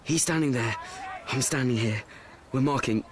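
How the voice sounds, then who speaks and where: A man's voice: medium pitch, normal speed, a crowd in the background, natural voice. An actor playing an anxious, awkward schoolboy who narrates his woes in a rambling radio play monologue, correcting and repeating himself. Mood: flustered. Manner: rambling, repetitive, self-correcting.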